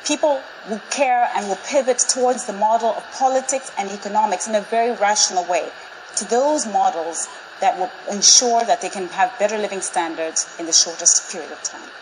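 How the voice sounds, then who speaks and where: A woman in her forties, from Zambia, gives a prepared public talk in a measured, persuasive and emphatic way to a live audience. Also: mid-range voice, background noise. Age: 40s. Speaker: speaker. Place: large auditorium with live audience.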